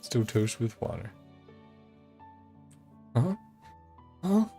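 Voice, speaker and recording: A man's voice: mid-range voice, very animated, very slow, vocal fry, mellow, husky. A man streaming a video game, casually commenting and reading dialogue aloud over game music.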